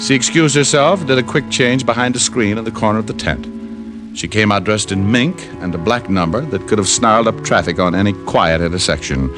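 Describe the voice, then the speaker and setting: Low-voiced, wry private eye played by a man. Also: fairly quick, natural voice. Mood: wry narration. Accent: American. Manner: wry, smooth-talking, deadpan. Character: wry, flirtatious hard-boiled male private detective narrating his case. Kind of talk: 1940s-50s radio detective acting. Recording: old radio recording with music behind.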